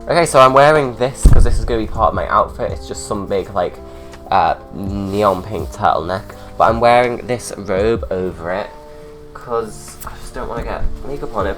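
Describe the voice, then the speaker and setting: A man's voice: lowish voice, lively intonation, normal speed, fairly bright tone, faint music behind. A young man with a stuffy cold, chatting sarcastically through a drag makeup tutorial at home. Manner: chatty, sarcastic, ranting asides.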